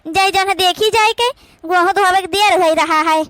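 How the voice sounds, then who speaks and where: A woman plays the youngest daughter-in-law of a village household in a comedy cartoon. Her voice is very high and sharp, with an Awadhi accent. She sounds dutiful but whiny and complaining. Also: normal speed, no room sound, studio quality. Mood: impatient.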